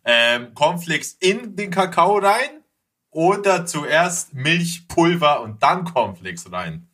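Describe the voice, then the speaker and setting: A man's voice: fairly high pitch, very animated, slow, sharp tone. A man chatting casually as a podcast guest, blunt and joking, with plenty of slang. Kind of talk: casual chat.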